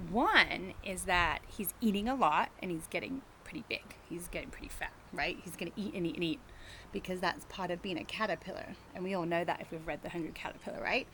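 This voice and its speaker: Outdoors, with faint background noise of birds and traffic, a woman speaks in a very animated, swooping voice at a medium pitch. She sounds like a teacher at story time, reading to children and chatting with them in an encouraging, questioning way. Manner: encouraging, asks young listeners questions.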